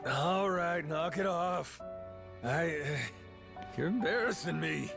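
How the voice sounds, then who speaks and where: An actor playing a roguish, cocky yakuza pirate captain in a video game, with a gravelly voice and slangy, swaggering talk. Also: male voice, a little high, slow pace, over music. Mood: embarrassed. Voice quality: gravelly, creaky. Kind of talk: dramatic video game cutscene acting.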